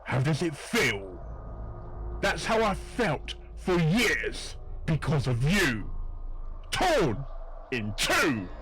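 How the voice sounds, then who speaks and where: A man acts out a bitter pony detective in an animated-fantasy audio drama. He speaks slowly and with defiance, confronting his enemy dramatically, over faint background noise. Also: on the high side, full character voice. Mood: bitter rage. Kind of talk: fan audio drama acting.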